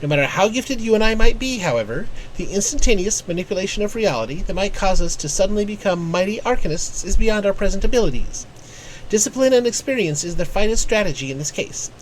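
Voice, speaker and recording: A woman's voice: mid-range voice, lively intonation, fast talker, lightly acted, low-quality recording. Someone playing a pedantic bookish arcanist lady from a fantasy game, formal and wordy, bit of background noise. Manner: formal, verbose, analytical. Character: bookish, precise young female arcanist tutor, pedantic and absent-minded. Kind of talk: reading game character dialogue aloud.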